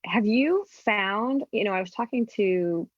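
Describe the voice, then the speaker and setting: Brief: woman host, mid pitch, dry muffled remote line, easygoing questions. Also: lively intonation, measured pace, dry recording. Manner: warm back-channel "mm-hmm", rambling questions. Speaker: radio host. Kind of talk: interview questions.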